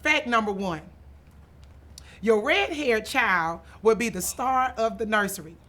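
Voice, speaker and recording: A woman reading a children's picture book aloud in an expressive storytelling manner, stretching some phrases for emphasis. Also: a little high, unhurried. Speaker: author and school administrator reading aloud.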